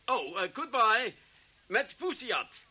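An actor playing an impatient, blustering Dutch police chief in a radio play, halting and exasperated. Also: male voice, very high voice, big pitch swings, bright, forceful tone, exaggerated voice. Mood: flustered, exasperated.

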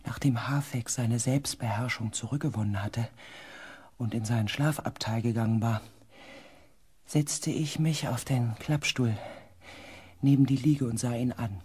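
An anxious expedition researcher, narrating. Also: male voice, medium pitch, a little slow, darkish tone, husky. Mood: grave. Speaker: narrator and character. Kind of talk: dramatic first-person radio drama narration. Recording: faint background ambience.

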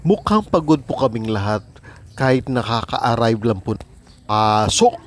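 A man's voice: medium pitch, big pitch swings, normal speed. A man with a Filipino accent narrating a travel vlog in a polite, casual way, mixing Tagalog and English. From Philippines. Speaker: travel vlogger.

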